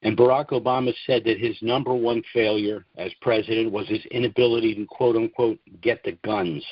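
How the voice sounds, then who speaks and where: Male American call host, measured halting monologue, dry and slightly lo-fi sound. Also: on the low side, steady tone, dry recording. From United States. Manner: deliberate, halting, frequent throat-clearing. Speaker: conference call host promoting trust services. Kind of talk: informal monologue on a conference call. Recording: dull, dry conference call audio.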